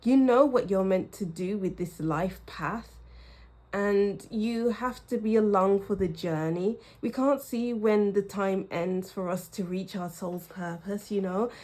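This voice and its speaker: A woman giving a pick-a-card tarot reading, speaking in a reflective way and repeating phrases for emphasis, with a slightly croaky throat. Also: medium pitch, unhurried, a little mellow. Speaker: tarot reader.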